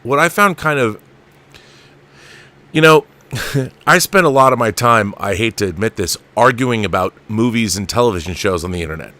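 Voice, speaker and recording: Middle-aged man, show host, low pitch, sharp bright tone, effusive and emphatic chat. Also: animated, moderate pace, long pauses. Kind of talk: enthusiastic interview chat.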